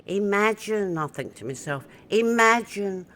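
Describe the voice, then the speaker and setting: A woman's voice: mid-range voice, animated, a little slow, a bit bright. An actress playing a mournful, clingy, fretting older woman in an audio comedy, with plaintive delivery. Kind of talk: audio sitcom acting.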